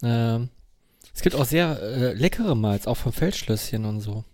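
A man's voice: medium pitch, expressive, a little slow, a little mellow, dry recording, studio quality. A man co-hosting a podcast, chatting casually. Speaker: podcast co-host. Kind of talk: casual chat.

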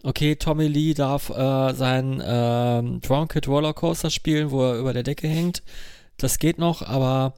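A man in casual podcast chat, haltingly repeating words as he searches for phrasing. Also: mid-range voice, fairly even intonation, a little mellow, clear, resonant tone, no echo, clean studio sound. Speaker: podcast co-host.

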